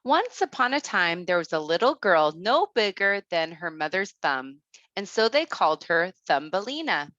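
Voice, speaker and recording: A woman storyteller narrating a children's tale, expressive and careful in her read-aloud delivery. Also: fairly low pitch, moderate pace, no echo, muffled recording.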